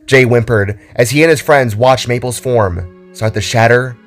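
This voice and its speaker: A man's voice: medium pitch, moderate pace, soft background music. A man narrating a scripted, dramatic story.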